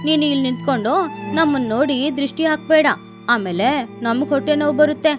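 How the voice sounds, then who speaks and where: A smug, condescending eagle, as a woman storyteller plays it in a cartoon bedtime tale. The voice is high and mocking, with faint music underneath. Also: a little fast, close to an everyday voice. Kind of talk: children's animated bedtime story.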